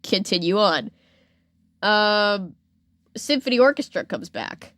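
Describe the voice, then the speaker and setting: A woman tells a story as a casual podcast host. Her voice sits at a medium pitch and her retelling is animated. The recording is close and dry. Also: slow, dry recording. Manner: animated retelling, acting out imagined reactions in quotes.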